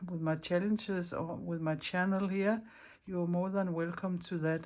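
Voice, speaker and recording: Danish woman, halting friendly chat. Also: lowish voice, darkish tone. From Denmark. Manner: halting, searching for English words, friendly. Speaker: craft channel host. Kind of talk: casual craft vlog chat.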